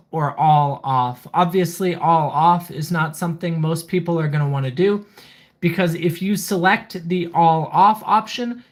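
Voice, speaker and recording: A livestream host with a mid-pitched voice. The delivery is casual but methodical, listing each item and then adding a short remark, as in a hands-on product walkthrough. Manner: methodical, reading items aloud then commenting. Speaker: livestream host reviewing gadgets.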